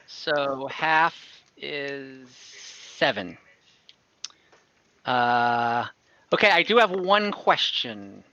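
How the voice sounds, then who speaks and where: Guy dm on an online call, bright crisp voice, kinda dull lo-fi sound, lots of um and restarts. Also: mid-range voice, lively intonation, very slow. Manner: explains rules with restarts and hesitant fillers. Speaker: game master. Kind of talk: running an online tabletop game. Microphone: home mic on an online call.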